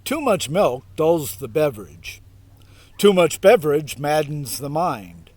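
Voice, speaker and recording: A man reading aloud and adding informal reflections in a halting, wry, laid-back way, as host of a meditation video. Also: on the high side, sing-song, a little mellow, no room sound. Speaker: self-styled priest, meditation video host. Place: outdoors in a park.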